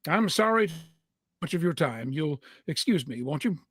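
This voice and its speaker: A male actor as a courteous, anxious attorney in a 1930s radio mystery. His voice is fairly high and recorded very dry. He speaks hesitantly and imploringly, always polite. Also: expressive, normal speed, fairly bright tone, breathy edge, no room sound. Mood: dejected. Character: earnest, anxious, courteous male lawyer pleading for help.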